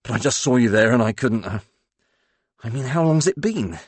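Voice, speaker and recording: A man's voice: mid-range voice, expressive, moderate pace, no room sound, pitched above the performer's own voice, lightly acted. A man voicing a kindly middle-aged English editor, hesitant and courteous, trailing off as he talks in an audiobook story. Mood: flustered apology. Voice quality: tobacco-rich.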